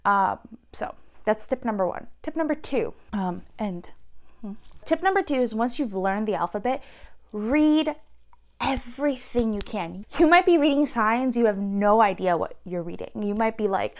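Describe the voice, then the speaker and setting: A young woman giving casual to-camera advice on learning a language, chatty and animated, playfully acting out her own reactions. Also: a little high, moderate pace.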